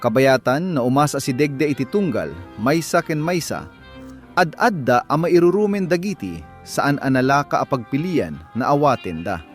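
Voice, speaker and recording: A male narrator tells a radio drama in a scripted, dramatic manner. His voice sits at a medium pitch with music playing behind it. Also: lively intonation, normal speed. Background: music bed.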